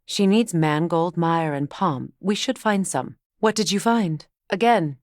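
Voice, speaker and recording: A woman playing a solemn, compassionate, determined ancient goddess in a video game, speaking in a measured, formal, earnest way. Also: fairly low pitch, darkish tone, no room sound, studio quality, natural voice. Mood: resolute. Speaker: video game character voice actor. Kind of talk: dramatic game dialogue.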